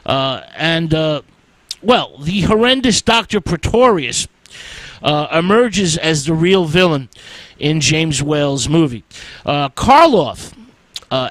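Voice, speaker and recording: Halting radio host man, lively pitch. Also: no echo, poor audio. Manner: frequent "uh" fillers, halting delivery. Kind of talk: radio film-history talk.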